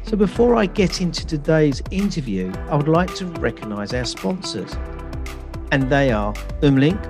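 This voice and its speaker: A middle-aged man hosting a podcast, reading an introduction and then chatting casually with a guest. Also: mid-range voice. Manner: reads sponsor list, relaxed questions with fillers. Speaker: podcast host.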